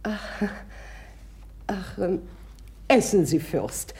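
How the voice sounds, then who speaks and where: A woman's voice: medium pitch, expressive, extremely slow, mellow. A woman playing a middle-aged, impulsive, commanding society mother in a radio drama, talking effusively and abruptly. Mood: curious, eager.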